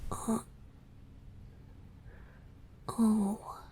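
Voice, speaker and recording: A woman voicing a young male idol boyfriend in a romantic ASMR roleplay, tender and clingy, speaking in an intimate, hesitant, breathy whisper. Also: extremely slow. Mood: apologetic, tender. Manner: soft, hesitant, pleading, confiding.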